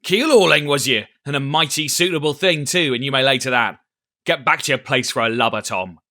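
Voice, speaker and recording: A man voicing a blustering, cunning old one-legged pirate cook who shouts in salty sailor talk. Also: mid-range voice, animated, normal speed, no echo, clean studio sound. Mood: stern.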